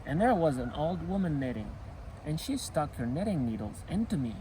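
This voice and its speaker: A man reads a frightened storybook robber, aggrieved and emphatic. The slightly high voice jumps up and down as he recounts his scare, with noise faintly behind it. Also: very animated, moderate pace, mellow, low-quality recording.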